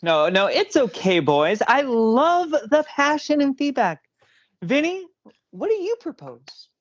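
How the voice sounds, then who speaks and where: A woman's voice: high-pitched, very animated, slow pace, no room sound, full character voice. Someone acting a rambling, bitter boss lady, lo-fi. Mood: friendly, flirty. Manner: rambling, repeats herself, profane. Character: chatty, bitter married female video store owner and wrestling boss. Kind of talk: comic audio play acting. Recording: dull lo-fi audio.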